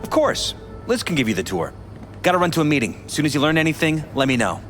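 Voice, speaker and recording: An actor playing a polished but troubled middle-aged politician in a video game, speaking in a measured, courteous, earnest way. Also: male voice, on the high side, very animated. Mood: courteous, brisk. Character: polished, troubled male politician confiding in an investigator. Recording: music behind.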